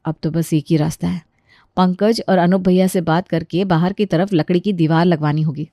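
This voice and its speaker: A woman's voice: fairly low pitch, fairly even intonation, moderate pace, mellow, no room sound, clean studio sound, close to an everyday voice. A woman voicing an overworked, dutiful young daughter-in-law in a children's cartoon story. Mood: resolved.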